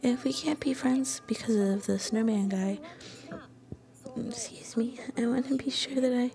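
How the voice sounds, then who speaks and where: Woman acting as a shy sweet college girlfriend, high soft breathy voice, mellow n a bit creaky, hesitant whispery asmr roleplay. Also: measured pace. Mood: soft, confiding. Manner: hesitant, gentle, intimate. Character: shy, sweet, affectionate young Japanese university student girlfriend.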